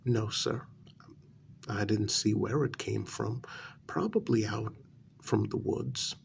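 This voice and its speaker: A man playing a nervous, deferential night-shift road worker in a soft-spoken ASMR role-play, halting and polite as he gives his account. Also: lowish voice, vocal fry, a little hiss or hum, full character voice. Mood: uneasy, evasive. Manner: halting, deferential, with frequent pauses and polite sirs. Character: deferential, nervous night road-crew workman, adult man, hesitant witness. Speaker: ASMR performer.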